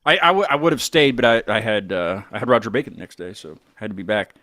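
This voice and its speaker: A man's voice: on the low side, lively intonation, fast talker, sharp tone, no room sound. An American man hosting a casual music chat, rambling informally as he talks. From United States. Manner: rambling, informal, mild swearing. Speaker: interviewer, music show host.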